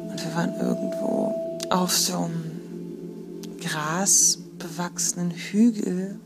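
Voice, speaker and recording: A woman talking casually and haltingly, thinking aloud as if recording an audio diary. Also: fairly low pitch, vocal fry, loud music behind the voice. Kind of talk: casual spoken audio diary, recounting dreams. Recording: noisy informal recording with ambient sound.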